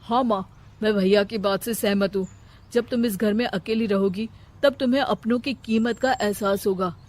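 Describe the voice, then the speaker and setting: A woman's voice: mid-range voice, steady tone, normal speed, a little mellow, natural voice. Woman putting on an earnest younger son. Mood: reproachful. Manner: respectful but reproachful. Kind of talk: Hindi moral story cartoon voice acting.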